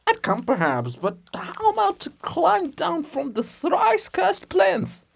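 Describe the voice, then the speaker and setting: A man voicing a boastful monument of an old ruler, complaining loudly in a high voice in a dramatic farce. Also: wildly swooping pitch, takes it slowly, gravelly, heavily acted. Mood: loud grumbling.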